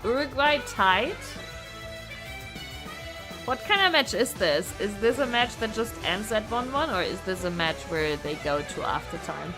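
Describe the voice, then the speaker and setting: A woman chatting casually as a game streamer, in a rambling, animated way with casual swearing. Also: medium pitch, a little slow. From Germany. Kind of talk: casual live gameplay chat. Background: music.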